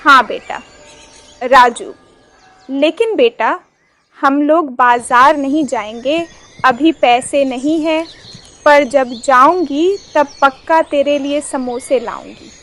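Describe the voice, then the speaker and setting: Lady doing a gentle poor village mom, slow and patient, cartoon. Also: sits high. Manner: soft, patient, reassuring.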